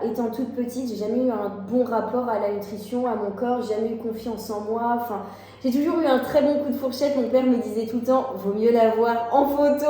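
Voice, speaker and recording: A woman's voice: fairly high pitch, mellow. A woman of twenty-two, a guest on the vlog, telling her own story candidly with frequent little fillers. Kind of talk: casual personal story. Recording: very echoey room, faint noise.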